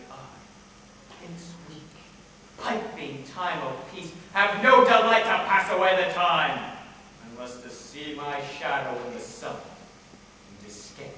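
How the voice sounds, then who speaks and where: A young male actor plays a bitter, treacherous duke in a Shakespearean stage play. The voice is high and bright, with emphatic, declamatory phrasing. It rings through a very echoey hall, picked up from far off. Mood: scheming, menacing. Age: young adult. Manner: declamatory, emphatic, deliberate pauses. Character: bitter, scheming, deformed villain, a duke plotting treachery. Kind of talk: theatrical Shakespearean stage acting. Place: high school stage performance, recorded from afar. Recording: echoey theatre hall, distant microphone, faint noise.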